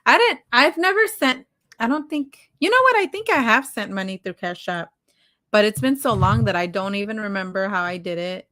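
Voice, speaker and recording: A woman's voice: mid-range voice, lively intonation, moderate pace, bright, forceful tone. Female co-host for a casual live chat show; mid-range voice, informal and talkative, given to exclamations and slang. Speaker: podcast co-host. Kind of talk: casual conversation on a live stream.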